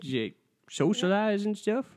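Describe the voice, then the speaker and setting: Man, lively swooping voice, somewhat high, boastful improv comedian, deadpan. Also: unhurried.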